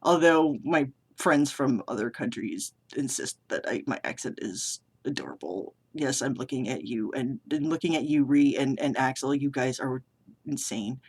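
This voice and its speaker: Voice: medium pitch, lively intonation, normal speed, slightly rough. Rambling vlogger from New England. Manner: rambling, self-deprecating, frequent restarts. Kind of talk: casual chat to camera. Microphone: camera mic.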